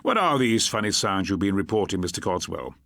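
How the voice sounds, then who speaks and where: A man voicing a gruff, scruffy detective in his forties with a blunt, sceptical, grunting manner. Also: low-pitched, sing-song, fairly quick, a bit bright, no room sound, clean studio sound, heavily acted. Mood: probing. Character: gruff, scruffy forty-two-year-old detective.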